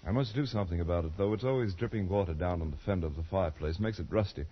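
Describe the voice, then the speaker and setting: A man's voice: low voice, rapid-fire, lightly acted. A man playing a genteel, fussy London banker, polite and proper, in a post-war radio drama. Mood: polite, mildly fussy. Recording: dull vintage radio recording.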